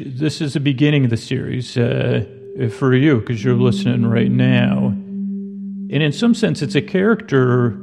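A man hosting a sleep podcast with a gravelly voice, rambling casually and haltingly, often repeating his words. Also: mid-range voice. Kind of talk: rambling casual bedtime monologue. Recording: long reverb, clear ambient noise behind. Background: ambient sound design.

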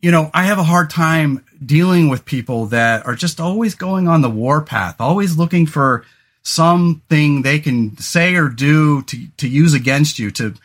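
A man in his forties talks through a casual podcast chat, his voice sitting a little high for a man. He rambles, doubles back on himself and fills gaps with "um" and "you know," dropping the odd curse along the way. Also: animated, normal speed, fairly bright tone. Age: 40s. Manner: rambling, many fillers and restarts, swears casually. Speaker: podcast host.

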